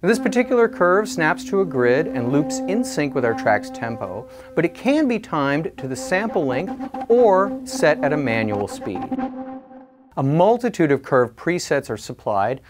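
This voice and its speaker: A man narrating a scripted product review in a clear, explanatory way. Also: a little high.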